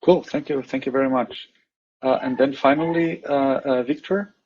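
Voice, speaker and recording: A man's voice: medium pitch, steady tone, moderate pace, a little mellow. A man moderating a panel, casually and hesitantly handing over from one speaker to the next. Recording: dull lo-fi online-call audio, faint noise.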